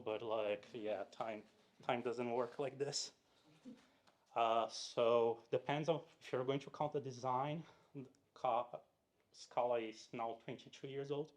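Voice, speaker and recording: A man from Brazil giving a casual technical talk to a live audience, chatty and full of fillers and restarts, often asking the crowd questions. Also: medium pitch. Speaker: conference speaker. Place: meetup room in front of an audience.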